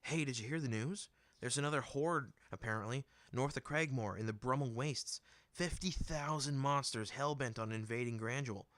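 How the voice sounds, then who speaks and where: Man acting a jovial big merchant, bright voice. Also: medium pitch, moderate pace, dry recording, close to an everyday voice. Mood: gossipy. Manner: jovial, joking, teasing. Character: big easygoing islander man, wry monster merchant.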